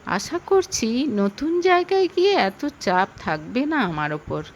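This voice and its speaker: Woman as earnest husband, slow and expressive. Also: on the high side, muffled recording, a little hiss or hum. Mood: soft, apologetic. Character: devoted, suspicious husband, a police officer. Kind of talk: audio story acting.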